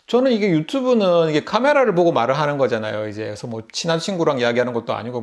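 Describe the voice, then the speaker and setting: A middle-aged man with a Busan Gyeongsang accent, telling a casual story to camera as a vlogger, chatty and laughing often. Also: fairly high pitch. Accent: Gyeongsang (Busan) Korean. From Busan, South Korea. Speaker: YouTube vlogger.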